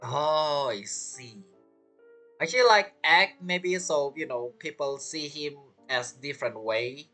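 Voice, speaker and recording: A game streamer commenting casually on a playthrough. The voice sits at a middling pitch and moves up and down a lot, with choppy non-native phrasing over game music. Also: expressive, takes it slowly, pushed and bright.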